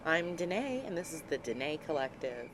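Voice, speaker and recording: A woman hosting a casual cooking vlog from a small RV, slightly hoarse and scratchy from a cold, chatty and laughing at her own clumsiness. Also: sits low, big pitch swings, moderate pace, over music. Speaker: vlog host. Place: small RV kitchen. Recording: noticeable background noise.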